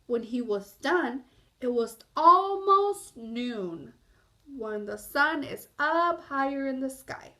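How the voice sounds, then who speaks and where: Female children's story reader, high and very animated, explanatory, unhurried. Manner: explanatory, asks listeners questions. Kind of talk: read-aloud storytelling for young children.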